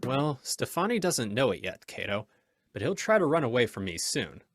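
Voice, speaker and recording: A man acting a calm, decisive hero giving instructions in an old-time radio crime drama. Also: medium pitch, normal speed. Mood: composed, purposeful.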